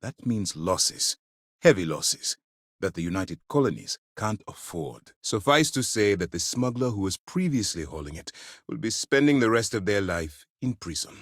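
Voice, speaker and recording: Commanding, animated military officer. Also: male voice, fairly low pitch, no echo, clean studio sound. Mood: grave. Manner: authoritative, formal, measured. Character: stern, principled male military commander of a space navy. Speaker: video game voice actor. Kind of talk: acted game dialogue.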